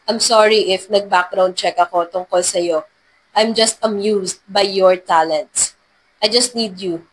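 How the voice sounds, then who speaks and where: A woman's voice: mid-range voice, steady tone, slow, slight background noise, lightly acted, poor audio. A woman voicing a wealthy male CEO in a romance story, earnest and apologetic, mixing in English phrases. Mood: pleading, remorseful. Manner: earnest, code-switches into English. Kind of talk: dramatized romance story narration.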